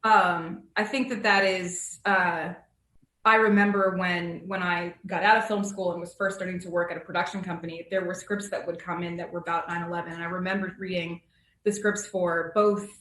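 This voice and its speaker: A woman's voice: on the low side, fairly even intonation, normal speed, a bit bright. Woman moderator in a roomy space. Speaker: panel moderator. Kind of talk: conversational panel moderation. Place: indoor room on a video call. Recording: roomy video call sound.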